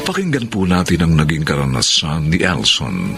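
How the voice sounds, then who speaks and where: A man's voice: a bass voice, wildly swooping pitch, normal speed, gravelly. A Filipino man narrating a radio drama in a dramatic, scripted announcer style. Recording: music-backed radio broadcast.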